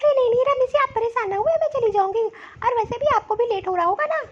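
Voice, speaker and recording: A tiny, extremely high voice for a vain cartoon young woman acted by a woman. She is fussy and scornful, complaining in theatrical, exclamatory asides. The sound is somewhat dull and lo-fi. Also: fast. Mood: boastful, evasive. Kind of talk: Hindi comedy cartoon dialogue.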